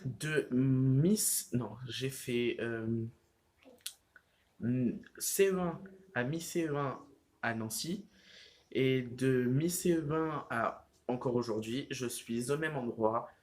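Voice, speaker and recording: A man's voice: mid-range voice, takes it slowly, darkish tone. A teenage boy talks casually to his viewers from home, rambling through his thoughts with many fillers and restarts. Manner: rambling, heavy use of fillers like "genre" and "euh". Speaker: vlogger. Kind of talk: casual vlog chat.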